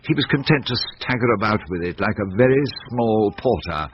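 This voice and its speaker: Low-voiced English storytelling narrator. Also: male voice, lively intonation, noisy background. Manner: measured, literary. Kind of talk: scripted dramatic storytelling narration. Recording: muffled, faint music behind.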